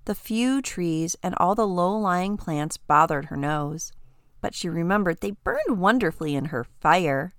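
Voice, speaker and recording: A woman's voice: lowish voice, lively intonation, measured pace, dry recording, clean studio sound. A woman reading a short story aloud as scripted storybook narration.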